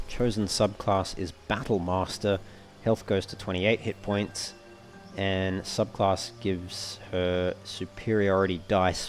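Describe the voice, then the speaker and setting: A man giving casual let's play commentary, thinking aloud in a measured, understated way and often reading game text out. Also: sits low, a little mellow. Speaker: gamer narrating a playthrough.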